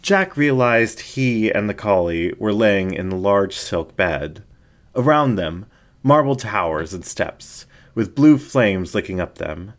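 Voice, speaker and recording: A man's voice: fairly low pitch, expressive, takes it slowly. A man reading a scripted story aloud as a dramatic narrator.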